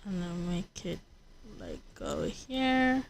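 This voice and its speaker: A woman's voice: on the low side, wildly swooping pitch, slow, gravelly, a little hiss or hum. A woman livestreaming a video game, chatting casually and thinking aloud in rambling, repeated phrases. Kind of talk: casual livestream chat while gaming.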